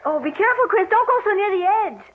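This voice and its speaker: High-voiced anxious wife, old radio. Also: female voice, big pitch swings, quick pace. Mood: uneasy. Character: affectionate, trusting wife turning fearful. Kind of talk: old-time radio thriller acting. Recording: tinny, noisy old radio recording.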